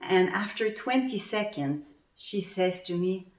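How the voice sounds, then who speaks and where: Woman coach, lowish voice, teaching through personal stories, mic set back in a roomy space. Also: lively intonation, takes it slowly. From Canada. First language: French. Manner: coaching tone, tells personal stories, poses questions to the audience. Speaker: communication coach. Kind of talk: online conference presentation. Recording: roomy sound from a distant mic.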